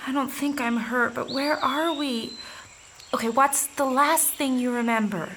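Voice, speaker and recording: A woman's voice: high-pitched, steady tone, measured pace, a bit bright, a little hiss or hum. A woman playing Jen, a level-headed teenage girl who talks in an anxious, questioning way.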